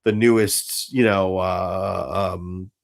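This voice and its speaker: A man's voice: low-pitched, fairly even intonation, slow, creaky. A man hosting a fan show in casual chat, rambling and hesitant, with some swearing. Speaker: host of a live fan show.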